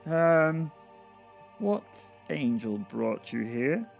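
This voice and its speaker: Man putting on a nervous, higher-pitched heavenly clerk. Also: big pitch swings, extremely slow, creaky, soft background music, full character voice. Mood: nervous, tremulous. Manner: hesitant, stammering, polite. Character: nervous, apologetic, officious male heavenly desk clerk.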